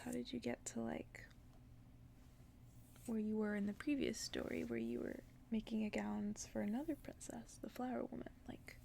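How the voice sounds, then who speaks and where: Woman, ASMR bedtime reading, hushed whisper, very crackly fry, mellow tone, faint hiss. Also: fairly high pitch. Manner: hushed, gentle storytelling with casual asides. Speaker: ASMR host reading a storybook aloud.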